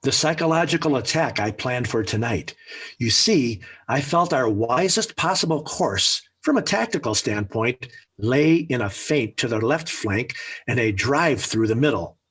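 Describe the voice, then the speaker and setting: A man's voice: mid-range voice, animated, unhurried, fairly bright tone, slightly rough. An actor playing a smug, scheming middle-aged American father in 1940s radio sitcom style, with pompous, emphatic speechifying. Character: smug scheming family father, blustery and theatrical. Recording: old 1940s radio recording.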